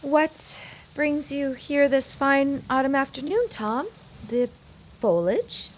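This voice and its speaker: An actress playing a proud, well-off widow who speaks formally and indignantly in a period audio drama. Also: female voice, high-pitched, animated, slow pace, slight background noise. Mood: cool politeness. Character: proud well-off young widow, haughty and exasperated with mother.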